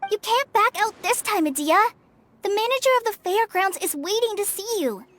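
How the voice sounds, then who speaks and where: A woman's voice: extremely high pitch, animated, moderate pace, a bit bright, no echo. A woman voicing a polite, earnest young girl who can be a little hesitant, in a game cutscene.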